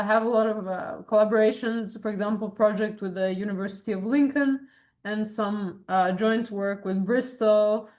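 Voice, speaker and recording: Female academic, thirties, medium-pitched voice, presenting research informally and explaining as she goes, with regular hesitations. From born in Serbia, grew up in Abu Dhabi. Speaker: academic researcher giving a talk.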